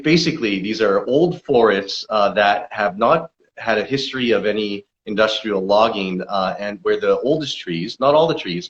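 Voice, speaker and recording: Low-voiced man explaining, dull recording. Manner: informative, steady, with fillers. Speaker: forest conservation campaigner. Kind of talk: explanatory interview answers. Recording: dull lo-fi sound.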